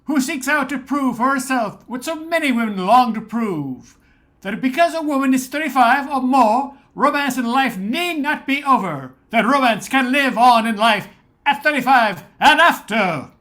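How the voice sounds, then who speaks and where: A woman's voice: sits high, animated, moderate pace, a bit bright. A woman playing a formal announcer who introduces a 1930s radio soap opera. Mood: formal, romantic.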